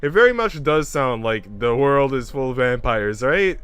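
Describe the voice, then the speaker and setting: A man with a smooth, clear voice, livestreaming as he reads a visual novel aloud and chats casually. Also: medium pitch, sing-song, normal speed, a bit bright, no room sound. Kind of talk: livestream narration and casual chat.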